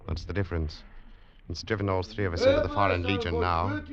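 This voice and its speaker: An actor playing a gallant, protective elder brother in an old radio drama, warmly chiding. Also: male voice, deep voice, little pitch movement, fast talker, lightly acted. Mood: steady. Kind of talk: 1940s radio acting. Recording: lo-fi radio recording with crowd noise.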